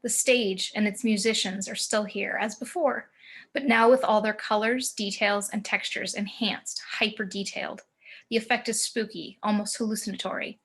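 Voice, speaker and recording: A woman reading screenplay action descriptions as narrator in a table read over a video call, in deliberate short phrases. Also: mid-range voice, fairly even intonation, a bit bright, slightly rough. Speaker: stage-direction narrator.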